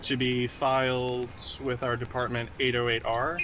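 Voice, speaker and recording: Stern intelligence official male actor, mid-range voice, measured radio mystery delivery, slight background noise. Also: mid-range voice, little pitch movement, a bit bright, lightly acted, poor audio. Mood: businesslike. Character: grim male government intelligence officer briefing an agent. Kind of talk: old-time radio drama acting.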